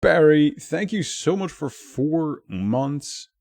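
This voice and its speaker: Low-ish gravelly guy streaming, casual chat w/ viewers, dry mic. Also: takes it slowly, dry recording. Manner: chatty, thanks viewers by name, lively swings in pitch.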